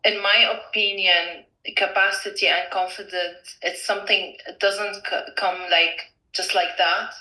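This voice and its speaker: A woman giving a halting, considered interview answer as a community participant. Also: mid-range voice, fairly even intonation, pushed and bright. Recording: echoey room, distant microphone.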